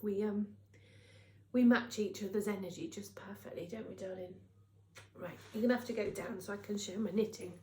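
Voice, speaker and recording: A woman's voice: medium pitch, fairly even intonation, brisk, darkish tone. A middle-aged British woman with a congested, slightly nasal voice, chatting casually and ramblingly to camera as host of a knitting vlog. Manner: chatty, rambling, emphatic repetition, tag questions. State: congested from a cold. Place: indoors at a craft workplace. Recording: roomy, echoing indoor sound.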